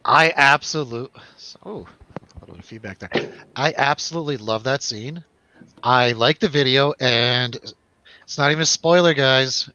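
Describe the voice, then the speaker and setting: A man's voice: medium pitch, a little slow, bright, forceful tone, smooth, dull audio. A man chatting casually and jokily as host of a film review show, in informal, slangy talk. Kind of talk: casual chat, film review over drinks.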